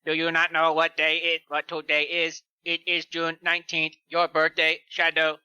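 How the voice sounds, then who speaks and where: Man as robot ally, insistent, loyal, bright, higher pitch, cartoon. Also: completely flat delivery, moderate pace, clear, resonant tone, dry recording, muffled recording.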